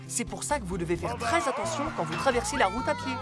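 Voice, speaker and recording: A man voicing an earnest, caring young rescue police car, giving clear safety instruction in a children's cartoon. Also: very high voice, expressive, fast talker, loud music behind the voice. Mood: earnest, instructive. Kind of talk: French children's cartoon dub, safety lesson.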